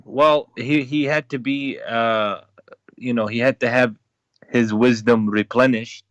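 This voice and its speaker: A man's voice: medium pitch, fairly even intonation, a little slow, fairly bright tone, no room sound. Hesitant man in a dry-sounding debate. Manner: hesitant, explanatory, defensive. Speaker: debate participant, caller. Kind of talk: unscripted religious debate.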